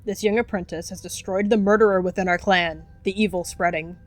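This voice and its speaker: A woman's voice: mid-range voice, steady tone, a little fast, soft background music, close to an everyday voice. Woman voicing a commanding cat clan leader. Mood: solemn, proclaiming. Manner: declamatory, ceremonial.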